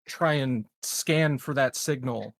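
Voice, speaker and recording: Deliberate man talking tabletop game strategy. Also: mid-range voice, vocal fry, a crowd in the background. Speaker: tabletop roleplaying player. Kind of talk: casual game talk, proposing plans.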